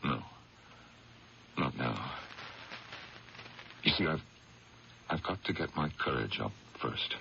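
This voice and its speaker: An actor playing a weary, guarded American district attorney in 1970s radio drama, speaking in a hesitant, evasive way. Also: male voice, very deep voice, lively intonation, creaky, sharp tone, exaggerated voice. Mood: troubled. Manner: hesitant, halting. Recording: dull old radio recording, faint noise.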